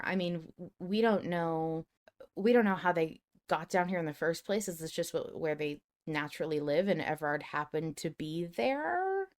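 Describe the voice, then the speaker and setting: A woman hosting a casual live discussion podcast, chatty and thinking aloud as she interrupts herself. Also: deep voice. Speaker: podcast host. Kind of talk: casual live discussion reading listener comments.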